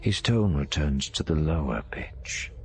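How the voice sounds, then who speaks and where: A man's voice: very deep voice, lively intonation, measured pace, vocal fry, a little mellow. A man with a synthetic voice reading short descriptive narration in an audiobook. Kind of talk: clipped present-tense descriptive narration. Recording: faint background noise.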